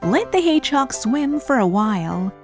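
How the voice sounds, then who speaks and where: A woman reading a calm, instructive scripted narration. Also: sits high, moderate pace, music in the background.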